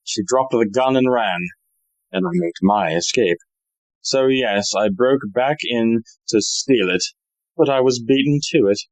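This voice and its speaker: A woman's voice: rumbling low, a little slow, dry recording, rough recording. A woman playing an earnest, polite young man in an audio play. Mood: confessional.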